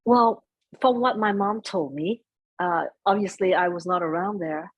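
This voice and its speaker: A soft-spoken middle-aged woman speaking as a guest in an interview, chatting about family, sometimes slipping into Vietnamese phrases. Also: fairly low pitch, unhurried, slightly rough, highs cut off. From United States. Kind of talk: conversational interview.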